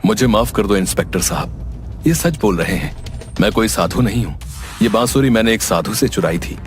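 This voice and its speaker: A man's voice: lowish voice, lively intonation, fairly quick, close to an everyday voice. A man playing a fake holy man, a thief confessing in a story. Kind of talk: dramatized story acting. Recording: music under the voice.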